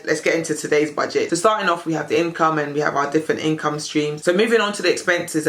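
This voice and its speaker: A British woman with a husky, recovering voice, chatting upbeat through a household budget walkthrough. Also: low-pitched. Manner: chatty, upbeat, strings thoughts with "so". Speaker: personal finance video creator. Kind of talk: casual budget walkthrough to camera.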